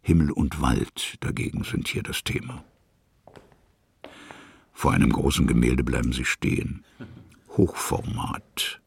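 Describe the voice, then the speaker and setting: Man, literary storyteller, extremely low pitch, husky gravel, scripted radio-play narration. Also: no room sound.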